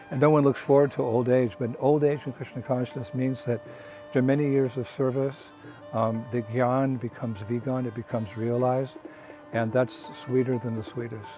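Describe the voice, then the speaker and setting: An older man, a traveling monk, speaking in a soft, halting way with many pauses and restarts, outdoors on a walking pilgrimage. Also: mid-range voice, flat delivery, soft background music. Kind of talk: casual spoken reflection.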